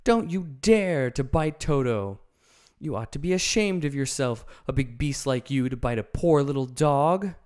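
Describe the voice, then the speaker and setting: A man's voice: high voice, expressive, very slow, a little mellow, smooth, pitched above the performer's own voice, exaggerated voice. A man voicing a brave young girl in a storybook reading, scolding and earnest. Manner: indignant, scolding.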